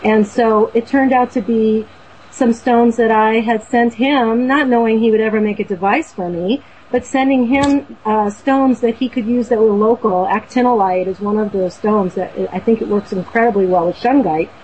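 A woman's voice: on the high side, fairly even intonation, moderate pace, a little mellow, slight background noise, rough recording. A woman from Boston, a guest telling a story in an earnest, rambling way, full of fillers and restarts. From Boston, USA. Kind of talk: casual storytelling on a talk show.

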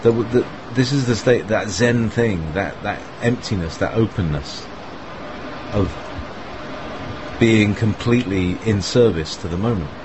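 A man's voice: on the low side, a little slow. A man hosting an online group chat, talking in a loose, meandering way full of fillers and small laughs. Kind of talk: casual rambling talk. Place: online voice call. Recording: clear background noise.

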